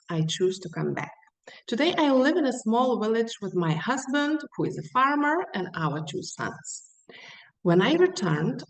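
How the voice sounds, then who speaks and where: A woman with a Lithuanian accent gives a prepared webinar presentation, speaking in an unhurried storytelling way. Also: lowish voice. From central Lithuania. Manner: unhurried, storytelling with rhetorical questions. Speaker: small hospitality business owner, guest speaker. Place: online webinar, echoey room. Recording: roomy echo, distant microphone.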